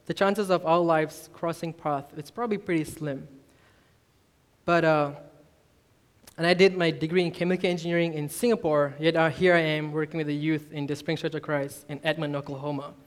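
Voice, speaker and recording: Young man from Malaysia, mid-high voice, earnest sermon telling his story. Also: fairly even intonation, moderate pace. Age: 20s. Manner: earnest, self-deprecating, admits nervousness. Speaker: youth minister preaching. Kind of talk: personal testimony sermon.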